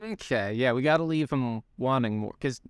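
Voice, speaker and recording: A man's voice: mid-range voice, moderate pace, clear, resonant tone, no echo, clean studio sound. A young man co-hosting a podcast, chatting casually and rambling with lots of fillers and some swearing. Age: young adult. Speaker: podcast co-host. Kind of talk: casual chat.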